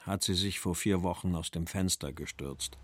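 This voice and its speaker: An actor voicing a weary, wry post-war writer reading his letters and poems in a measured, carefully articulated way. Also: low voice, no echo. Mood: sombre, weary. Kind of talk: literary reading of letters and verse for a radio feature.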